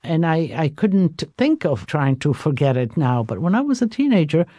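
A woman's voice: low voice, sing-song, moderate pace, warm tone, no echo, dull audio. An older woman chef from Istria with an Italian accent, telling her story in a radio interview, with many fillers. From Istria, Italy/Croatia. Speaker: chef, guest.

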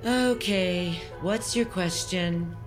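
A woman's voice: on the low side, drawn-out words. A woman playing an older psychic in an audio drama, guarded and protective, speaking in a weary, clipped way. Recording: ominous music underneath.

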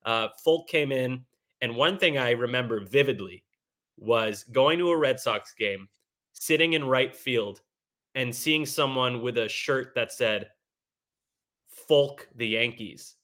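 Bright, excitable twenty-something co-host. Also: male voice, mid-range voice, slow. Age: 20s. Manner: excitable, jokey, stammering restarts. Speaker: podcast co-host. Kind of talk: casual sports podcast chat.

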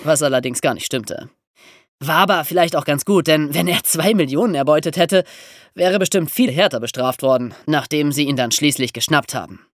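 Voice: medium pitch, moderate pace, dry recording, clean studio sound. A podcast presenter explaining history as a story, with dramatic emphasis and rhetorical questions.

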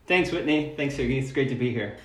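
A man's voice: medium pitch, fast talker, fairly bright tone. A man answering as a podcast guest in a casual, wry, informal way. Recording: roomy, echoing sound.

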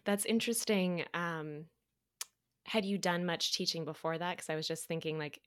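A woman's voice: sits low, fairly bright tone. A woman hosting a podcast interview, asking encouraging, thoughtful questions. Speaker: podcast host. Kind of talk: casual interview conversation.

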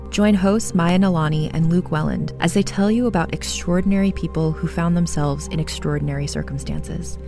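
Lower-voiced woman reading a podcast promo. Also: a little mellow. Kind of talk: scripted podcast promo read.

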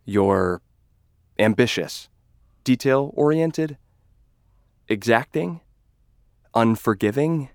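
A timid young lab assistant, as a man puts him on, speaking slowly and haltingly, afraid of his boss. His voice is smooth and clear, recorded dry and close in a studio audio drama. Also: medium pitch, lively intonation, no echo. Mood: hesitant. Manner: hesitant, halting. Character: nervous young male lab assistant, deferential.

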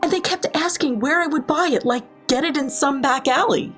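A woman's voice: sits high, brisk, fairly bright tone, soft background music. A woman playing a defensive, rattled professional caregiver in an audio drama, earnest and emphatic. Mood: defensive, indignant. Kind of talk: naturalistic audio drama acting.

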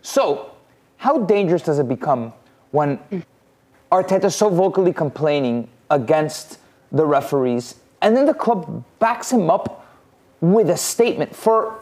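Male podcast host, somewhat high voice, probing and emphatic. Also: sing-song, a little slow, a little mellow, breathy edge. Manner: questioning, builds to emphatic points. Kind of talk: podcast discussion.

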